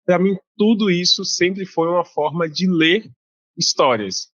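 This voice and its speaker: A man's voice: sits high, unhurried, dry recording. Chatty man, dry dull sound. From Bahia, Brazil. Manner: relaxed, chatty, many fillers and restarts. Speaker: guest author. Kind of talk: casual interview.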